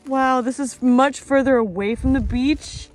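A woman's voice: sits high, a little slow, soft background music, low-quality recording. A woman in her thirties vlogging casually outdoors, enthusiastic and informal, full of exclamations, recorded on a handheld camera. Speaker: vlogger and rock-hunting host. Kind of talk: casual outdoor vlog chat. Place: outdoors on a rocky shore.

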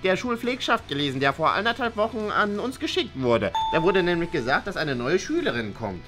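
A woman's voice: on the low side, big pitch swings, brisk, music in the background. A woman playing a prim, precocious schoolboy in a children's comedy skit, pedantic and fussy, tripping over words. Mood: indignant, smug. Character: prim, precocious schoolboy, know-it-all model pupil.

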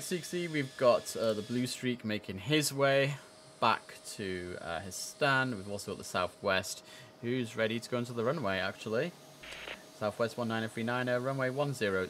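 A man in his thirties commentates a flight control game live with very animated pitch. He chats casually and slips into formal controller instructions. Some noise sits behind his voice. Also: normal speed. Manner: chatty, mixing casual asides with formal radio calls. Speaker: streamer playing an air traffic controller. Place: indoor room, live stream.

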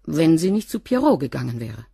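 A woman's voice: low voice, sing-song, a little fast, vocal fry, full character voice. An actress playing a curt, evasive cleaning woman under police questioning in a radio crime play. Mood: guarded. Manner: terse, evasive answers.

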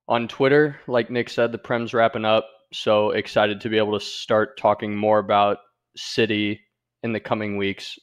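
A man's voice: lowish voice, clean tone. A man co-hosting a casual podcast, friendly and eager. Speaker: podcast co-host.

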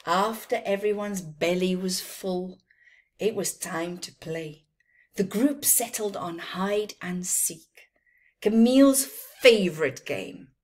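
A middle-aged woman reading a children's storybook aloud with expressive, dramatic phrasing and emphasised words. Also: fairly low pitch, slow pace. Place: small plain room indoors.

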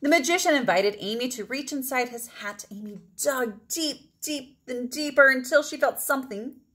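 A middle-aged woman reading a children's picture book aloud expressively, with deliberate phrasing and emphatic pauses. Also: high-pitched, lively intonation, a bit bright, slightly rough. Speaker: read-aloud storyteller.

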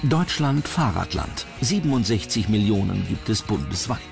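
A man's voice: on the low side, sing-song, normal speed. A man, narrating a scripted television documentary. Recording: clear music bed under narration. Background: music.

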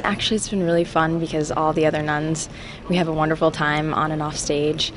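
A young adult woman, a stage actress, speaking in a bubbly, rushed way in an interview. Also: deep voice, little pitch movement. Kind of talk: casual interview. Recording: noisy backstage ambience.

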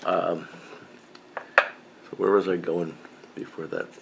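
Deep-voiced middle-aged man chatting in his workshop. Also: level tone, slow pace, gravelly, heavy background noise. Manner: rambling and self-correcting, with frequent fillers. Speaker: pipe-smoking woodworking channel host. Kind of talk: casual chat to camera. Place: home workshop with pegboard of tools.

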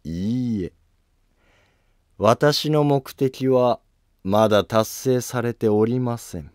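A man playing a coolly polite, scheming Japanese court noble with a calm, formal, deliberate delivery in a history drama. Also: medium pitch, no room sound, studio quality, close to an everyday voice. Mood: cool, resolute.

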